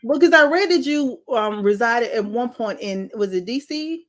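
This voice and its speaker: A woman's voice: fairly high pitch, lively intonation, normal speed, a bit bright. A woman hosting an interview podcast, talking in a playful, teasing, slangy way and laughing often. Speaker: podcast host. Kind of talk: casual interview chat.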